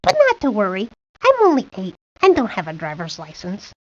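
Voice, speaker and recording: A woman's voice: medium pitch, wildly swooping pitch, brisk. A woman voicing a precocious, nerdy eight-year-old boy, pedantic and wordy, for a cartoon video game. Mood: precocious, matter-of-fact. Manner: pedantic, wordy, self-assured. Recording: dull lo-fi 1990s game audio.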